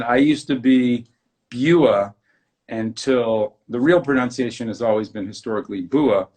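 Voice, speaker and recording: A man hosts a casual interview in a mid-pitched voice. He talks with open enthusiasm and generous praise. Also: darkish tone. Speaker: host.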